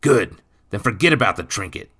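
A man voicing a rough, bullying, thieving stepfather in an audiobook, gruff and coarse in his grammar. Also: fairly low pitch, expressive, brisk, sharp tone, voice pushed up, heavily acted. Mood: menacing. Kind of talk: audiobook character voice.

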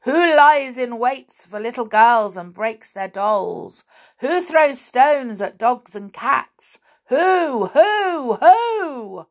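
A woman voicing a stern, solemn invisible male figure from a storybook, firing accusing questions in a booming voice. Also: expressive, drawn-out words, full character voice. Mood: menacing, rising.

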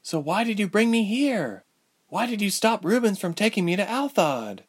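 A man voicing a frightened but defiant young woman with magic powers, in a high, strained voice. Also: big pitch swings, moderate pace, slightly rough, full character voice. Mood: urgent.

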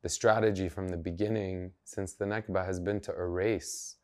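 A man with a low, deep voice talks through his views as an interview guest. He is earnest and emphatic, working out his thoughts aloud in a conversational way. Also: fairly even intonation, moderate pace. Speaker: journalist and activist guest.

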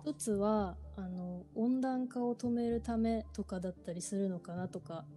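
A woman's voice: medium pitch, unhurried, mellow, soft background music. A woman in her thirties chatting casually with friends as a podcast co-host. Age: 30s. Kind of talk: casual chat among friends.

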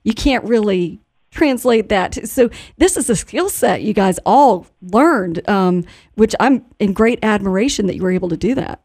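A woman's voice: medium pitch, lively intonation, moderate pace, darkish tone, dry recording. A woman radio host with a mid-range voice, talking conversationally in a dry studio sound. She is effusive and complimentary as she interviews.

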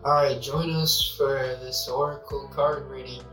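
A man's voice: medium pitch, fairly even intonation, unhurried. A man, a guest on a podcast, chatting casually in a teasing, laid-back way. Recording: echoey room, from far off, faint music.

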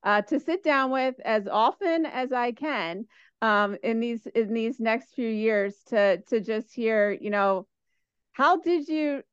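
A young woman with a smooth, clear voice asks eager questions, stumbling and restarting as she goes. The audio is dry and a little dull, like a lo-fi recording. She sounds keen and admiring. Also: on the high side, no echo. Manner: eager, halting with many fillers and restarts. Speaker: interviewer, doctoral student. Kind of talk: casual interview.

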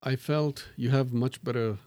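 A man's voice: medium pitch, steady tone, unhurried, darkish tone, no echo. A middle-aged man, a professor, talking in a thoughtful, self-deprecating way in a conversational interview, with many fillers and restarts. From born in Tanzania to Indian parents.

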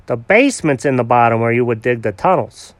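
A simple, good-natured male dog from a storybook, played by a man. He sounds dopey and earnest, with a lively, bouncing pitch. The sound is dry and close. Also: brisk, no echo, natural voice. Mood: explaining.

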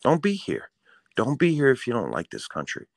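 A man's voice: mid-range voice, huge pitch swings, moderate pace, vocal fry, no room sound, clean studio sound. A man co-hosting a casual podcast, ranting in a fired-up, profane way. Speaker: podcast co-host.

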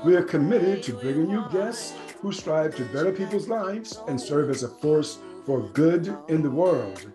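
A man's voice: on the high side, lively intonation, measured pace, hardly any pauses, over music. Friendly male podcast host. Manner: friendly, rambling questions with restarts. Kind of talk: podcast interview.

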